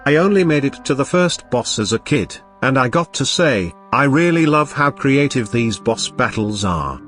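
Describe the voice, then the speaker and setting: A man's voice: mid-range voice, sing-song, normal speed, a bit bright. A young man giving a casual game review voice-over, chatty and enthusiastic with joking asides. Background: game music playing.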